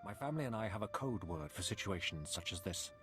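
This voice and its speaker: An actor playing a guarded, stern captive man in a video game, making demands in formal, measured words. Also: fairly low pitch, animated, natural voice. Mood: stern, insistent. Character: guarded, stern male captive bargaining for his family. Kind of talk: video game cutscene acting. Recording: loud game music behind.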